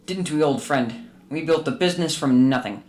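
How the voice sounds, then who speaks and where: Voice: medium pitch, moderate pace, a little mellow, lightly acted. An actor playing a bitter, miserly old businessman who grumbles and scolds curtly in a radio drama. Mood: wistful.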